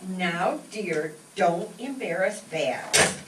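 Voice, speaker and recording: Woman acting a lively sitcom housewife. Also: fairly low pitch, drawn-out words, noisy background. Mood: brisk, motherly. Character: practical housewife and mother of a sitcom family. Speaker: amateur performer in a staged radio show. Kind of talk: 1940s radio sitcom acting. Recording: echoey room, distant mic, music behind.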